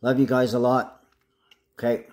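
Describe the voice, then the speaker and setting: A man's voice: mid-range voice, completely flat delivery, moderate pace, a little mellow. A man talking casually to the camera in a rambling, agitated monologue full of swearing.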